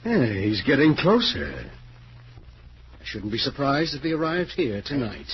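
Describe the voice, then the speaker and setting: An actor in a 1940s radio horror drama playing a scheming, manipulative man, smooth, coaxing and condescending. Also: medium pitch, big pitch swings, unhurried. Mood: coolly amused. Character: scheming, coolly amused, manipulative wheelchair-bound man. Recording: muffled 1940s radio recording, faint noise.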